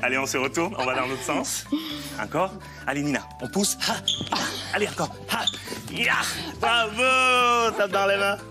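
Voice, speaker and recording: A man's voice: high voice, normal speed. A man in his thirties coaching exercise in a playful, encouraging way while moving around. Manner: physically active while talking. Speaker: fitness coach on a TV parenting show. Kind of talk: demonstrating exercises to a mother and young child. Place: living-room style TV studio. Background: music.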